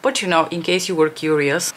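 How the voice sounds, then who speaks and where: A woman's voice: sits low, steady tone, brisk, fairly bright tone, a little hiss or hum. A young woman chatting casually through a makeup tutorial, rambling and correcting herself as she works. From Bulgaria. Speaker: beauty vlogger.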